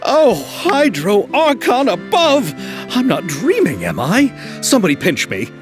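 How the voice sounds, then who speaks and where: A man playing an earnest, eager film producer in a video game, hesitant and flattering toward others. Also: high-pitched, a little slow, soft background music, full character voice. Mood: overjoyed.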